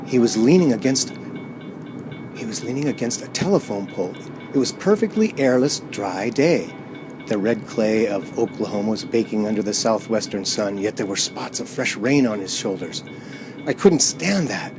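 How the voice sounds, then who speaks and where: A man's voice: lowish voice, animated, moderate pace, background noise, close to an everyday voice. A man playing an anxious, haunted driver who narrates his dread tensely and hesitantly in a radio play. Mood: panicked. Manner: tense, hesitant, dramatic repetition.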